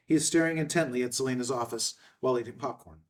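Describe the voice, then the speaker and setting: A man's voice: medium pitch, fast, recorded from a distance. A man reading screenplay stage directions as scripted narration. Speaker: stage directions reader at a table read.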